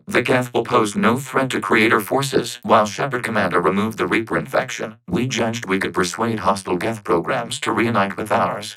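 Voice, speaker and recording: An actor playing a calm, logical synthetic robot in a video game, speaking in measured, formal phrases through robotic voice processing. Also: male voice, fairly low pitch, a little hiss or hum, poor audio. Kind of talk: video game character dialogue.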